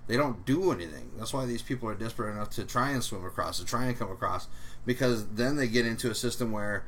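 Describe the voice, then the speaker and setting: Rambling, low-voiced man ranting. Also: fast, a bit bright, slight background noise. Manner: rambling, profane, many fillers. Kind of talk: casual political rant.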